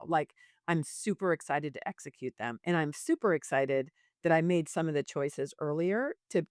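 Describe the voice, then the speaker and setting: A woman in her late fifties with a clear, smooth voice in a dry, close recording. She talks as a podcast guest and business coach, informal and emphatic, moving easily between advice and storytelling. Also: low-pitched, no echo. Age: late 50s. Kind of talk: conversational interview, storytelling and advice.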